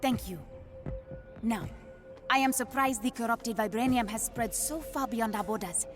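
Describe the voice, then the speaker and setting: A woman playing a confident young African princess and scientist in a video game, with an African accent and a brisk, precise delivery. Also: on the high side, natural voice. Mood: brisk, businesslike. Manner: brisk, self-assured, precise. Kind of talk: cinematic video game acting. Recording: prominent background music.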